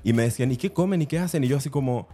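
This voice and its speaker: A man's voice: medium pitch, racing, mellow, breathy edge. A man with a Chilean accent co-hosting a podcast in a chatty, slangy, enthusiastic way. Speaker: podcast co-host. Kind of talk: casual podcast chat.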